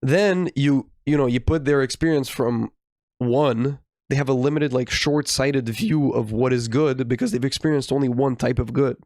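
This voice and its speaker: A young man with a mid-pitched voice speaks in a very dry recording. He is a podcast co-host, thinking out loud casually and haltingly as he builds an argument. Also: dry recording. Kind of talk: casual philosophical discussion.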